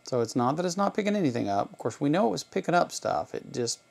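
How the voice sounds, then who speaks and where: A middle-aged man with a gravelly voice, giving a casual, unhurried demonstration in plain words. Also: a little high, sing-song, very noisy. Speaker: electronics channel host. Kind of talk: casual product demonstration, explaining. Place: small home electronics workroom, indoors.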